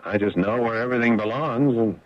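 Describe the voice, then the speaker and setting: A man's voice: medium pitch, a little fast, no room sound, lightly acted. An actor playing an easygoing, guarded amnesiac handyman in an American radio drama, with a hesitant, plain-spoken delivery and an American accent. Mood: easygoing, modest. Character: easygoing amnesiac handyman, guarded about his forgotten past. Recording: dull, dry old radio recording.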